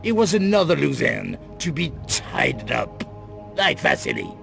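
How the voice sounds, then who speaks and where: An actor playing a grim, guarded middle-aged crime boss in a video game cut-scene, with a gravelly voice and grave, deliberate delivery. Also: male voice, a little high, sing-song, exaggerated voice. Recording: loud music under the voice.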